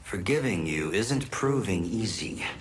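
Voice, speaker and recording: An actor voicing an earnest, humble young champion in a fighting game, reserved in manner. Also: male voice, low voice, expressive, a little slow, slight background noise. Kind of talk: scripted fighting game dialogue.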